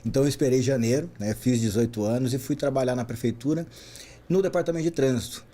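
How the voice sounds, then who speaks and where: A Brazilian man in his forties speaks as a podcast guest, with a mellow, somewhat husky voice. He tells his story in an animated, chatty way, often checking in with a quick question. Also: medium pitch. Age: 40s. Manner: animated, frequent "né?" tags. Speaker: guest, traffic safety specialist. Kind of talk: relaxed storytelling interview.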